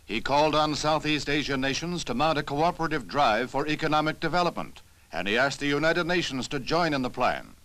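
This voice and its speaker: A man's voice: a little high, expressive, brisk, few pauses, a bit bright. A man with an American accent narrating a 1960s newsreel in a formal, declarative announcer style. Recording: 1960s newsreel soundtrack with music. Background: music.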